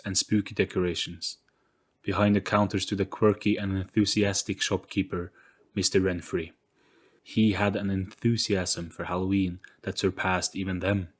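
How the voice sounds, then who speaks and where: Low-voiced man narrating a spooky story. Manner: deliberate, theatrical storytelling delivery. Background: music.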